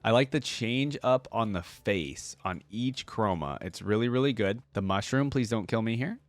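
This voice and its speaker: A man's voice: fairly low pitch, animated, normal speed, dry recording. A man hosting a casual reaction video, talking informally and thinking aloud as he comments. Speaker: video creator and host.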